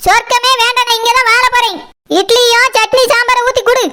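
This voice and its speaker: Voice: very high voice, flat delivery, a little fast, pushed and bright. A performer voicing a bossy, touchy village woman in a comedy cartoon, speaking Kanyakumari Tamil in a brusque, scolding way. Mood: dismissive. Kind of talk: exaggerated cartoon comedy dialogue.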